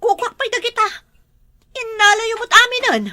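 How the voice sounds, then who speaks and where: An actress with an Ilocano accent playing a proud, reproachful elder sister who owns a farm, in a comedy drama. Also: female voice, very high voice, normal speed, bright, forceful tone. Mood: indignant, scolding. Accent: Ilocano, northern Philippines.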